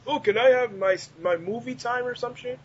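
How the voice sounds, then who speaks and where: A man's voice: extremely high pitch, brisk, slightly rough. A man co-hosting a casual podcast, defensive and snarky, swearing and stammering over his repeats. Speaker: podcast co-host. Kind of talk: casual banter. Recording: muffled noisy internet call recording.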